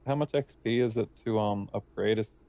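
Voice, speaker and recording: A man on a dull, lo-fi mic speaks in a low, creaky voice. He is a tabletop game player, casually and hesitantly asking questions. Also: brisk, warm tone.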